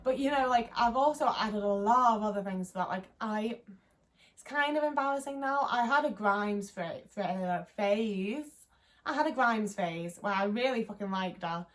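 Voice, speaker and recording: A woman's voice: medium pitch, normal speed. Young woman, twenties, Liverpool accent; loose, candid vlog chatter with plenty of filler words. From England. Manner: rambling, frequent "like" fillers, sweary and candid. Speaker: vlogger. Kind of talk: casual chat to camera.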